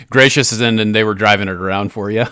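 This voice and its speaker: A man's voice: fairly low pitch, brisk, bright, forceful tone, clean tone, no room sound, studio quality. An American man hosting a podcast, interviewing a guest in an informal, conversational way. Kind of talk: casual interview chat.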